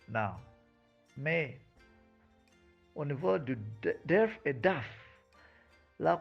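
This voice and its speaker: A man from Malawi speaks slowly and hesitantly, pausing often on fillers as he answers an interviewer. His voice has a gravelly edge. The recording is dull and lo-fi, with faint music behind him. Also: mid-range voice. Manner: hesitant, many fillers and restarts. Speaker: French teacher, guest.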